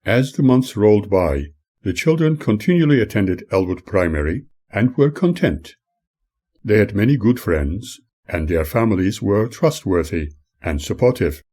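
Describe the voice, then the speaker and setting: An older man reading his own memoir aloud in a measured, earnest way. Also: on the low side, expressive, dark tone.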